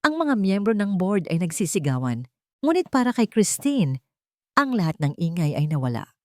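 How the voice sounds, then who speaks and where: A Filipino woman with a fairly low voice narrates a romantic drama. Her delivery is emotive and deliberate, in the manner of scripted storytelling. The sound is dry and close, with little room in it. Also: sing-song, darkish tone, no room sound.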